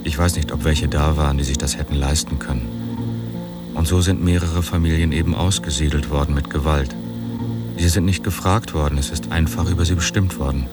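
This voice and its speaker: An older man, a former camp resident, calmly recalling the past in a documentary interview. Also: a bass voice, normal speed. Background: loud music bed.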